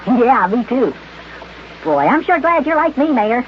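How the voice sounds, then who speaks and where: A man's voice: extremely high pitch, sing-song, unhurried, slight background noise, full character voice. A man voicing a small, wide-eyed, eager boy who exclaims naively in a children's radio serial. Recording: muffled old radio recording with music.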